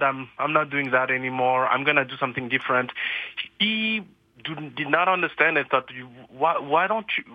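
A man's voice: medium pitch, no room sound, phone-quality sound. A man from France, guest on a radio interview, talking earnestly with hesitant restarts.

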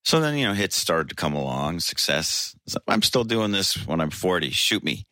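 A man in his sixties, a singer, telling an animated, laughing anecdote in an interview. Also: sits low, normal speed, no echo, clean studio sound. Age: 60s.

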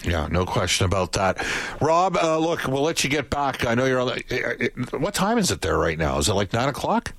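A man's voice: mid-range voice, very animated, fairly bright tone, dry recording. A man hosting a sports radio show, joking with a guest in casual chat. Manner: joking, brisk reads ad copy. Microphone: studio microphone.

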